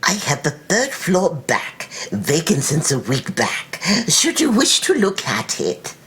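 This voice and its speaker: A woman's voice: deep voice, huge pitch swings, slow pace, fairly bright tone, full character voice. A woman playing a fawning, unwholesome housekeeper in an audiobook, with folksy, ungrammatical landlady patter. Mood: ingratiating, salesy. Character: fawning, unwholesome older housekeeper renting out rooms. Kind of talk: acted audiobook character dialogue.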